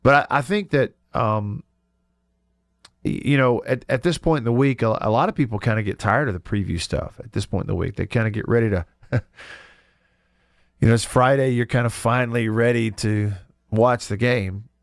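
A man's voice: medium pitch, normal speed, creaky, no room sound. A man hosting a radio sports talk show in a studio, congested from a cold, easygoing and chuckling, with frequent restarts. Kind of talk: casual radio chat.

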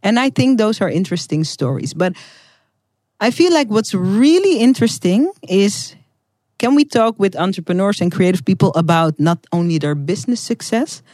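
A woman's voice: lowish voice, a little mellow, no echo. Earnest woman. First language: Dutch. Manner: earnest, heartfelt, emphatic. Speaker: podcast host and guest. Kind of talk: scripted podcast intro and casual chat.

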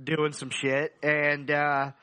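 A man with a notably high, bright voice tells a comic story to friends. His talk is loose and rambling, full of casual slang and swearing, like a podcast co-host hanging out. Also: completely flat delivery, slow pace, smooth.

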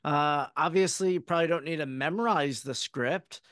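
A man's voice: a little high, moderate pace, fairly bright tone, no room sound, studio quality. A man interviewing a guest conversationally as a podcast host.

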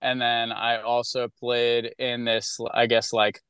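A musician guest in an interview, answering in a hesitant, laid-back way full of fillers. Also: male voice, mid-range voice, little pitch movement, slow, fairly bright tone, clear, resonant tone, dry recording. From upstate New York.

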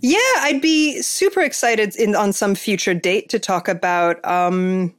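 A woman's voice: medium pitch, a little slow. A woman hosting a podcast, chatting casually and enthusiastically, with lots of fillers and restarts. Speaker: podcast host. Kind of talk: casual chat.

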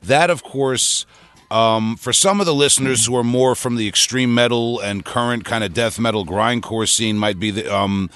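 A man's voice: medium pitch, moderate pace, a bit bright, clean tone. A man hosting a podcast interview, polite and appreciative, easing into his questions with long conversational lead-ins. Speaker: podcast host.

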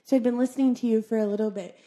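A young woman with a squeaky voice, chatting on a podcast and telling stories with frequent giggles. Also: steady tone, rapid-fire, warm tone. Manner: giggly, chatty storyteller, laughs often. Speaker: podcast guest. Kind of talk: casual chat.

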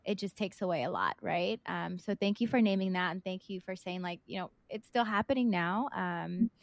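A woman's voice: fairly low pitch, normal speed, creaky, dry recording. A woman hosting a podcast interview, reflective and casual, often checking in with "right?". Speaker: podcast host.

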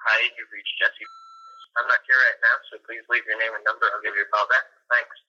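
A man's voice: fairly low pitch, little pitch movement, a little fast, breathy edge, lo-fi sound. An actor playing a man's plain recorded voicemail greeting in an audio drama, through a telephone filter. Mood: plain, casual. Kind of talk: answering machine message.

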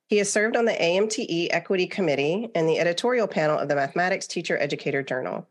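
A woman's voice: fairly low pitch, very fast. A woman hosting a podcast, reading a guest introduction and asking friendly, encouraging questions. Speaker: podcast host, interviewer. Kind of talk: reading an introduction, then conversational interviewing.

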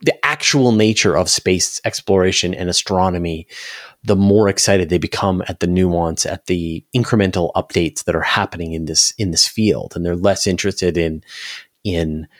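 A man's voice: low voice, moderate pace, no room sound. A man speaks in a casual interview. He explains with emphasis and animation, and the sound is close and dry. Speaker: interview guest, space channel creator.

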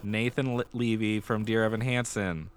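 Low-voiced man chatting as co-host. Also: fairly even intonation, normal speed, clean tone, no room sound. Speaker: theater podcast co-host. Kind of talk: casual chat about musical theater news.

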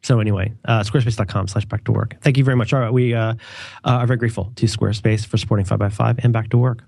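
A man's voice: lowish voice, steady tone, very fast, gravelly, darkish tone, dry recording, studio quality. A man with a stuffed-up cold, chatting casually as a podcast co-host in a rambling, digressive, wryly joking way. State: congested with a cold. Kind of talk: casual podcast chat and ad read.

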